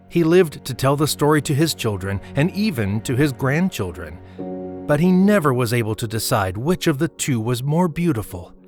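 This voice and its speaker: Guy narrating an old fashioned storybook, mid pitched voice, measured, bit of music underneath. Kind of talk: scripted storybook narration for audio drama.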